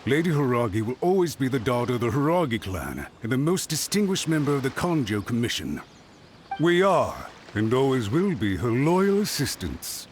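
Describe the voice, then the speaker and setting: A man's voice: medium pitch, sing-song, normal speed, lightly acted. Guy acting a snooty scheming official in a game, voice swinging all over, theatrical, bit of background noise. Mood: haughty, indignant. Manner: pompous, theatrical, insinuating. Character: haughty, scheming male clan retainer, indignant and smug.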